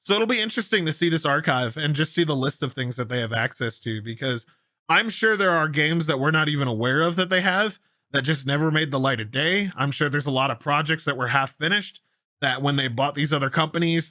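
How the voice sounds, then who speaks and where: Bright, forceful male gaming news host. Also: fairly high pitch, animated, a little fast, dry recording, clean studio sound. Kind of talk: casual news commentary.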